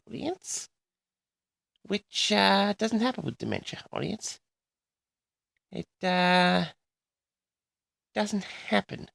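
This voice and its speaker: Male solo audio-log host. Very gravelly, swinging intonation, halting monologue addressed to listeners, dry close sound. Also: high voice, no room sound. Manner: halting, pausing often, repeatedly addresses the audience. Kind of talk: rambling solo monologue to an audience.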